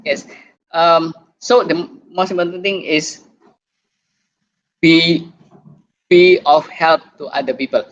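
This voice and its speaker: A man with an Indonesian accent giving an online talk as a doctor, halting and repeating words as he goes. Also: fairly high pitch. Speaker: doctor and guest speaker. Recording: muffled lo-fi video call.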